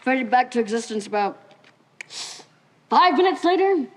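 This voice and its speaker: A woman's voice: sits high, animated, moderate pace. A teenage female student acting on stage as a stern, proper Victorian male doctor. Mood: dry, skeptical. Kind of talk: high school stage play acting.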